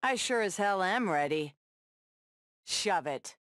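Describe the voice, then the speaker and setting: A woman's voice: mid-range voice, lively intonation, slow, a bit bright, dry recording, clean studio sound. A woman playing a tough, sassy superheroine in a video game, trading confident, defiant taunts and wry one-liners. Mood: stern. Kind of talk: video game battle banter.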